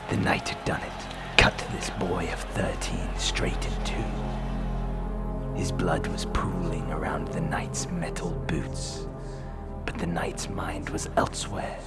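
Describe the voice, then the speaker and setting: A man narrating a fantasy drama in a grave, deliberate manner with a breathy, gravelly voice. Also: low-pitched, steady tone, loud music behind the voice.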